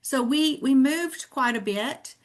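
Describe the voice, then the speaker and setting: A woman's voice: sits high, animated, slow pace. A middle-aged woman from Tennessee with a Southern American accent, telling her story in an earnest, reflective way as a guest author in a casual interview.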